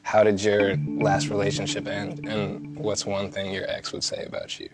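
A man with a fairly low voice hosts a dating show segment, calm and matter-of-fact. Background music plays under him. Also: moderate pace. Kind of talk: reality dating show narration.

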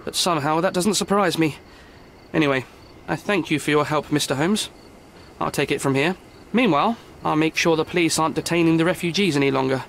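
High-voiced, put-upon camp supervisor. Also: male voice, a little fast, vocal fry, fairly bright tone, a little hiss or hum. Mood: wry, burdened. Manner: formal, wry, put-upon. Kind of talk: dramatic game cutscene dialogue. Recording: faint night ambience behind.

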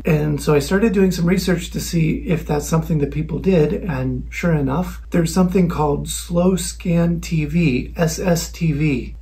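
Husky, slightly higher-voiced man explaining things. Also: dark tone. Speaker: video maker presenting a tutorial. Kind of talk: explanatory tutorial narration.

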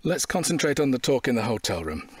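An actor playing a brisk, sceptical detective inspector with a husky voice, questioning in an audio drama. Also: male voice, medium pitch, big pitch swings, no echo. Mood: probing. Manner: probing, dryly sceptical.

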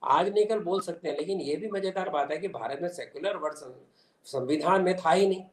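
Older male interview guest, slightly high voice, emphatic explainer, roomy video-call sound. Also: a little fast. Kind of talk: explanatory political interview answer. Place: small indoor room at home. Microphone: video call device. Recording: echoey room on video call.

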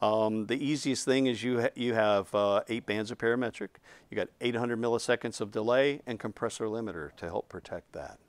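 A man's voice: lowish voice, a little fast, few pauses, dry recording. A man in his fifties giving an unscripted technical explanation of a product as its designer. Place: indoor studio set, seated.

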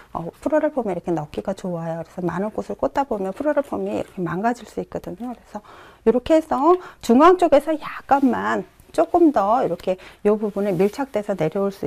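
A middle-aged woman giving a calm flower arranging lesson in a studio, pausing often as she works. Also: medium pitch, gravelly. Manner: calm instructive, many "eo" pauses while working. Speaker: floral design instructor. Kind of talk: step-by-step demonstration lesson. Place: bright indoor studio.

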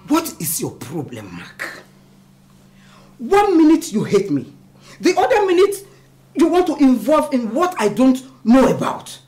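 A woman's voice: fairly low pitch, animated, unhurried, long pauses, darkish tone. An actress playing a wounded, proud middle-aged mother in a film melodrama, speaking emphatically. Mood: angry, defiant. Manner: emphatic, declamatory. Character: wounded, proud elder mother scolding her son. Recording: music under the dialogue.

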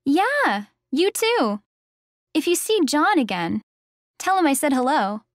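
A woman's voice: high voice, big pitch swings, slow pace, no room sound, clean studio sound, exaggerated voice. A woman acting a friendly acquaintance in a scripted textbook dialogue.

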